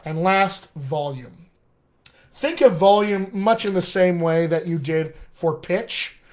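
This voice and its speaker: A middle-aged American man, a dialect coach, teaching a live online class in an animated, friendly way, often calling his viewers "my friends.". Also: high-pitched, slow pace. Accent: American English. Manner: animated, addresses viewers as "my friends", chuckles at own asides. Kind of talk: live-streamed teaching session.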